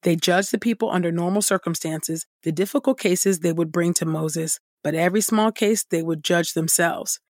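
A woman reading scripture and praying as a devotional host, with measured, reverent phrasing. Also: lowish voice, no room sound, studio quality. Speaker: devotional podcast host and Bible reader.

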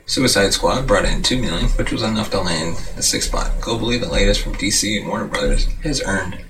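A man with a low, creaky voice reads a scripted film segment as the show's host. His delivery halts now and then. He is picked up from a distance, with clear noise in the recording. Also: lively intonation, a little fast. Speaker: host of a movie news and review show. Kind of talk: scripted narration, reading box office news and a film review. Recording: noisy room, distant microphone.

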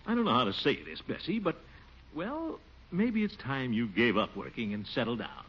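An actor playing a pompous, easily flustered middle-aged city official in a 1940s radio comedy. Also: male voice, mid-range voice, big pitch swings, normal speed, slightly rough. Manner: flustered, blustering, mutters to himself. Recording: muffled old radio broadcast with hiss.

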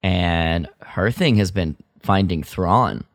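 Guy co-hosting a fan podcast, deep voice, dry close sound, just chatting. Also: slow pace, no room sound. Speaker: podcast co-host. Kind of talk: casual fan chat.

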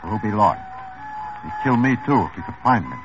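Brief: cagey mob lawyer, drawling, lo-fi old radio, music under. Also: male voice, on the low side, very animated, a little fast. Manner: wary, evasive, drawling. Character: smooth, nervous male mob lawyer. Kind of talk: 1950s radio drama acting.

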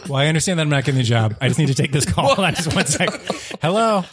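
A man's voice: fairly high pitch, lively intonation, rapid-fire, a crowd in the background, natural voice. A man in an improvised comedy scene playing a hot-tempered, foul-mouthed job applicant who rants indignantly into his phone. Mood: apologetic. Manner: ranting, profane, indignant.

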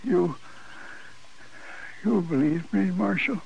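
An actor playing a dying old American gunfighter in a 1950s radio western, speaking weakly in halting, broken phrases, proud and desperate to be believed. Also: male voice, high-pitched, vocal fry, darkish tone, highs cut off, full character voice. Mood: pleading, insistent. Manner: halting, broken, laboured speech. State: weak and dying. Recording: old radio recording with faint noise.

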